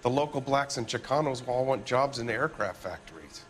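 An American actor playing a defensive, evasive middle-aged factory owner in a video game, with an indignant, blustering manner. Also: male voice, medium pitch, animated, moderate pace, faint music behind, natural voice.

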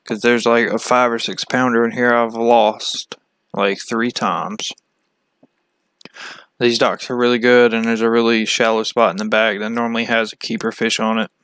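A man from the United States giving a casual, halting, matter-of-fact walkthrough as a fishing channel host. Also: mid-range voice, little pitch movement, a bit bright, smooth, no echo. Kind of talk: casual screen-share map walkthrough.

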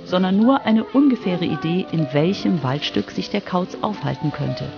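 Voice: medium pitch, lively intonation, normal speed, gravelly, highs cut off, music in the background. A narrator telling a scripted radio feature for children. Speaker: narrator, reporter.

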